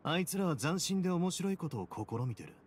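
A male actor playing a composed, confident prison boss in a Japanese game, speaking in a measured, dryly courteous way. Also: a little high, big pitch swings, a little hiss or hum. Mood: casual, assured. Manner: measured, cool, casually self-assured. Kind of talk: Japanese anime game voice acting. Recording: studio voice over game music.

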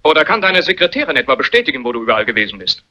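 An actor playing a sardonic adult son of a wealthy family, mocking and provoking in crime drama dialogue. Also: male voice, mid-range voice, very animated, very fast, pushed and bright. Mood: mocking interrogation. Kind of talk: German crime film dubbing, theatrical dialogue. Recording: dull lo-fi vintage soundtrack.

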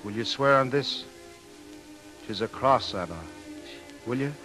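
An actor playing a devout, lovesick and wary Irish man in a 1930s radio drama, with a halting, solemn, pleading delivery. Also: mid-range voice, pushed and bright. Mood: solemn, intense. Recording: dated 1930s radio recording, faint music.

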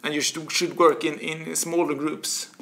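A man's voice: on the high side, measured pace. A man in his forties with a Swedish accent, a guest talking animatedly and restarting words as he thinks, recorded on a desk mic in a small office studio. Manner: animated, gestures a lot, repeats words while searching. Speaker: guest, author. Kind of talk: casual interview talk.

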